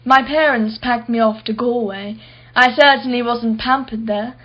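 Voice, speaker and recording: Actress doing posh-minded rich lady in a radio play, arch n sardonic, highish voice, bit muffled recording. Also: steady tone, moderate pace, lightly acted. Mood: nostalgic, proud. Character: haughty, wealthy, privileged female art collector, witty and defensive.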